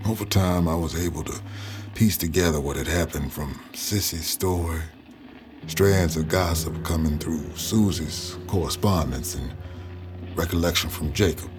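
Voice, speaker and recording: An actor playing an elderly man who recounts his painful past in measured, halting audio drama narration. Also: low voice, music in the background. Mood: somber.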